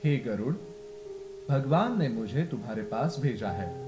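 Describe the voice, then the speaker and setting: Man as a slow, formal divine messenger. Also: mid-range voice, expressive, echoey room, over music, natural voice. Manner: formal, respectful. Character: courteous divine messenger addressing the eagle god.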